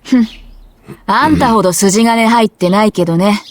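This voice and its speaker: A woman's voice: medium pitch, close to an everyday voice. A voice actress playing a brash, teasing schoolgirl who captains the archery club, in a game voice clip with music under it. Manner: teasing and blunt, quick retorts.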